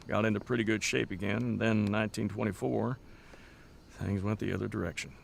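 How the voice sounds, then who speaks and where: A man's voice: on the low side, steady tone, brisk, gravelly, slight background noise. An actor playing a weary, plainspoken Montana rancher in an audio drama, folksy and stoic as he talks. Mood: plain, resigned. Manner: folksy, stoic, matter-of-fact storyteller. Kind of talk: naturalistic audio drama dialogue.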